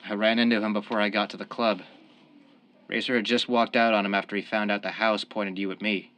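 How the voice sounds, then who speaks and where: A man's voice: lowish voice, little pitch movement, fairly quick, fairly bright tone, lightly acted. A man playing a desperate young family man turned mob killer in a radio crime drama, earnest and defensive. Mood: earnest, regretful. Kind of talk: old-time radio crime drama acting.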